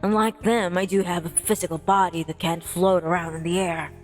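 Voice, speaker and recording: A woman's voice: fairly low pitch, steady tone, a little fast, close to an everyday voice. A woman voicing a playful, nonchalant male spirit in a visual novel, with a mock-innocent manner. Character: playful teasing male spirit in borrowed body. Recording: music playing behind the voice.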